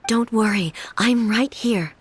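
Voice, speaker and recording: A woman voicing a regal, solemn goddess ruler in an anime game, formal, calm and measured. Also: a little high, breathy edge. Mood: calm reassurance. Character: regal, solemn, composed female electro deity ruler. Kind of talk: dramatic anime game voice acting.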